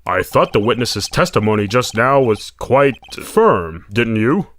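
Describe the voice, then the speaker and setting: A man's voice: medium pitch, big pitch swings, a little slow, a bit bright. A man voicing a fussy older male judge who speaks formally and pompously. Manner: formal, pompous, easily swayed. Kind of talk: fan dub game voice acting. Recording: with background music.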